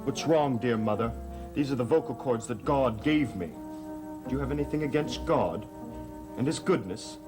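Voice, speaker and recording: An actor playing a mocking grown son in a gothic soap opera, with a dry, sarcastic, declamatory delivery. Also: male voice, mid-range voice, moderate pace, natural voice. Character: sardonic, mocking grown son of a wealthy family. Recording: old soap soundtrack with music underneath.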